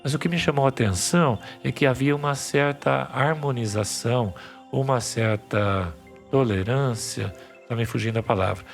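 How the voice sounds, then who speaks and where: Brief: male academic guest, gravelly texture, mid pitch, patient explainer, faint music under. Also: moderate pace. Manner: patient, explanatory, many restarts. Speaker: psychiatry professor guest. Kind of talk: podcast interview.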